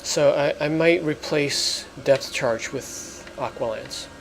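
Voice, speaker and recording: A man hosting a let's play, explaining things in casual, halting gameplay commentary. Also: a little high, creaky, background noise. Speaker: gamer, let's play host.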